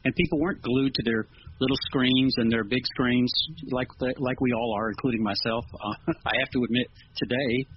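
A man's voice: mid-range voice, steady tone, normal speed. A man speaking as a musician guest in a casual interview, earnest and modest in manner. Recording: dull lo-fi with clear noise.

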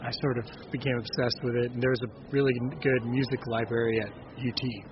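A man in his thirties with a raspy speaking voice, talking casually as an interview guest and often restarting his sentences. The recording is dull and lo-fi. A steady hiss of noise runs underneath. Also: medium pitch. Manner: halting, many restarts and fillers. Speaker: bluegrass singer-songwriter, guest. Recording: dull lo-fi audio with clear noise.

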